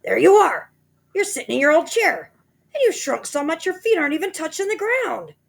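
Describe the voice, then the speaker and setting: A woman's voice: fairly low pitch, animated, moderate pace, creaky, darkish tone. A woman voicing a nasty old man who sneers and taunts his wife. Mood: mock-solemn taunting. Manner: sneering, teasing.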